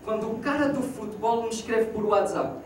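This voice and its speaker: A young man with a high voice and a European Portuguese accent tells stand-up stories on a small theater stage. He acts out each exchange with animation. The recording is echoey and distant, and the audience is clearly audible in the hall. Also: normal speed, a little hiss or hum. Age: 20s. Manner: animated storytelling, acting out quoted dialogue. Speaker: stand-up comedian. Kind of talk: stand-up comedy set. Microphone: handheld mic, filmed from far off. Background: audience laughter.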